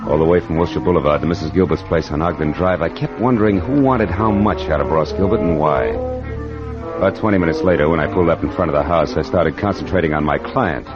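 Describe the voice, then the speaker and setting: A man's voice: sits low, quick pace, lightly acted. A man playing a wry, wisecracking private detective with an American accent, in 1940s radio acting style. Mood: wry, narrating. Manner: wisecracking, hard-boiled. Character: wry, tough male private detective narrating his case. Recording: dull vintage radio recording with music.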